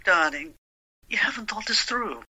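Actress as a worried protective sister in a radio play, very bright raspy voice, dry close sound. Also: female voice, mid-range voice, lively intonation, normal speed, no room sound, exaggerated voice, poor audio. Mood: firm concern. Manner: pleading, reasoning. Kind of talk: audio drama acting.

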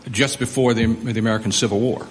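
A man's voice: on the low side, steady tone, quick pace. A man giving public remarks on history as a speaker at a discussion. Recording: reverberant hall.

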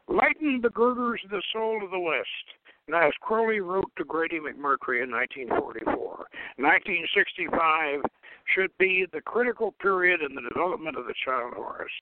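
A phone-quality recording of an older man hosting a radio talk show. His voice sits a little high and he speaks deliberately, often doubling back on a word. The sound is dry, with no room around it. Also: no room sound. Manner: halting, deliberate delivery with repeated words. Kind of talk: reading a written commentary and chatting about it on a talk show. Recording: tinny, phone-like internet radio line.

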